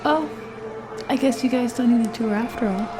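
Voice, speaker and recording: A woman's voice: a little high, moderate pace, natural voice. A woman playing a chipper, wry young female tour guide in a sci-fi radio drama. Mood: breezy, wry. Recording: loud music behind the voice.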